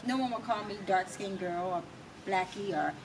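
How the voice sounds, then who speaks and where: An American woman telling her personal story as an interview guest, emphatic and reflective, in short deliberate phrases. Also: medium pitch. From United States. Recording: distant mic, clearly noisy background.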